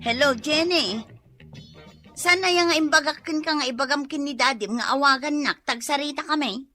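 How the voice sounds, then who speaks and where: A woman's voice: high-pitched, normal speed, sharp tone, soft background music. A woman playing a worried, weary mother working far from her family in a radio drama, plaintive and questioning. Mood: frustrated. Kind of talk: Ilocano comedy radio drama acting.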